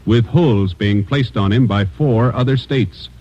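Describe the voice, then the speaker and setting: A man announcing in a formal, measured 1950s radio style, reading from a script. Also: mid-range voice. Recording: muffled 1950 radio broadcast recording.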